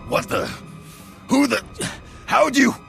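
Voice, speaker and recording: Gravelly, blustering armored thug. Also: male voice, very high voice, huge pitch swings, takes it slowly, a bit bright, faint music behind, full character voice. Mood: indignant. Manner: blustering, barking. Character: menacing armored thug henchman, gruff and indignant. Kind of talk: video game voice acting.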